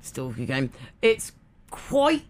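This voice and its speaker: A woman's voice: a bass voice, wildly swooping pitch, slow pace. A woman co-hosting a morning radio show in a studio, teasing her partner with dry asides. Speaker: radio co-host. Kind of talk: casual morning radio banter.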